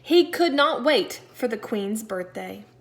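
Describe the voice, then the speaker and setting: A woman teacher reading a storybook aloud to young children in an expressive, deliberate way, stressing key words. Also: on the high side. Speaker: second-grade teacher.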